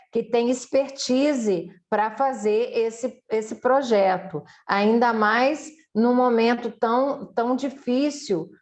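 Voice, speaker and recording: Slow-talking Brazilian woman interviewing. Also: fairly high pitch, darkish tone. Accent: Brazilian Portuguese. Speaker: interviewer and host. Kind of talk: conversational interview questioning.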